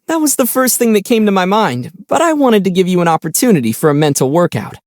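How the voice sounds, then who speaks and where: A man voicing a calm young traveler hero in a video game, measured and gently teasing. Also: high voice, dry recording, clean studio sound. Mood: amused, teasing. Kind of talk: video game character dialogue.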